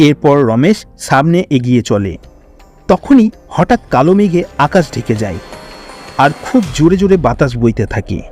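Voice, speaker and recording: A man's voice: fairly high pitch, big pitch swings, normal speed, a little mellow, soft background music. A man narrating a scripted children's fairy tale as storyteller.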